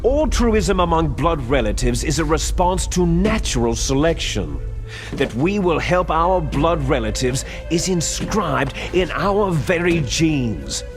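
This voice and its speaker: A scheming male villain from a video game cutscene, drawing out his words in a slow, menacing lecture. His voice sits fairly high and swings widely in pitch. Music runs clearly behind him. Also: sing-song. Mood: lecturing.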